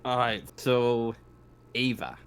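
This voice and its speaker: A man running a tabletop sci-fi roleplaying game as game master, casual and halting as he thinks aloud. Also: medium pitch, gravelly, a crowd in the background. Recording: faint background noise.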